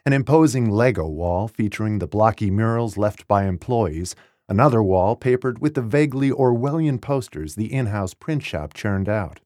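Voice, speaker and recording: A man's voice: on the low side, lively intonation, moderate pace, warm tone, dry recording. A man reading a first-person memoir as scripted audiobook narration, articulate and wry, recorded close in a dry studio.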